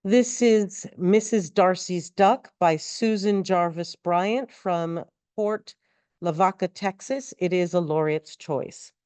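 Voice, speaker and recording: Woman, smooth and slightly low voice, deliberate expressive poetry reader, unhurried delivery. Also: no echo. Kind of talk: measured poetry recitation.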